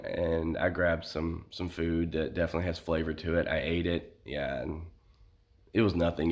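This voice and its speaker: An American man talking casually in a personal vlog, halting as he searches for words, with a sore throat and a voice starting to give out from illness. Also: low-pitched. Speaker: vlogger sharing illness experience.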